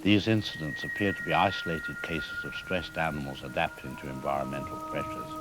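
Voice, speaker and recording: Male academic expert, played by an actor: low voice, calm, explanatory, clear music behind. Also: full character voice, low-quality recording. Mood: cautious, reassuring. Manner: measured, explanatory, formal. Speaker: expert interviewee. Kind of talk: scripted news interview.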